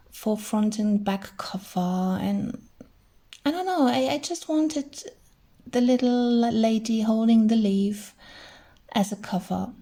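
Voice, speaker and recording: A middle-aged woman, chatting gently through a crafting tutorial and thinking aloud as she works. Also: mid-range voice. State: hoarse. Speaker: crafting channel host. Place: indoors at a craft table.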